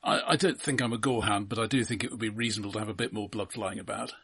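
A man co-hosting a film podcast, speaking casually in a measured, matter-of-fact way. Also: on the low side, fairly even intonation, vocal fry, a bit bright, husky.

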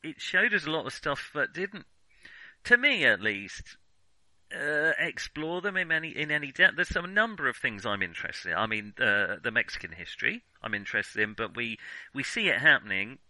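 Bright, hesitant man discussing film. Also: mid-range voice, sing-song, no room sound, poor audio. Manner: hesitant, halting, self-correcting mid-sentence. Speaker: film podcast co-host.

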